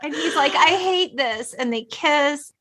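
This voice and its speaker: A woman hosting a film podcast, chatting in an emphatic, expressive way and acting out or reading quotes as she goes. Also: sits high, measured pace, slight background noise. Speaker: podcast host. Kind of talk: animated film chat, retelling and reading out quotes.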